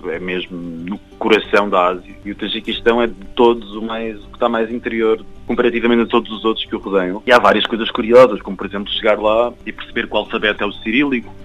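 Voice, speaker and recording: Low-voiced young Portuguese man, halting and reflective. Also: fairly even intonation, fairly bright tone, lo-fi sound, faint music behind. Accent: European Portuguese. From Algarve, Portugal. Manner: halting, repeats words while searching for phrasing. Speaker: traveller and guide interviewed. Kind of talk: casual reflective interview talk.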